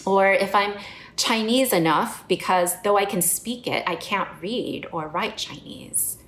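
A woman's voice: mid-range voice, a little slow, fairly bright tone, a little hiss or hum. A woman from the United States preaching a sermon in an earnest, personal and reflective way. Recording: echoey room.

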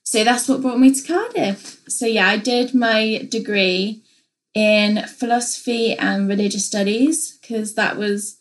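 A woman's voice: a little high, unhurried. A young woman from England, co-hosting a podcast in a casual, open, chatty way with many fillers. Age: young adult. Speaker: podcast co-host.

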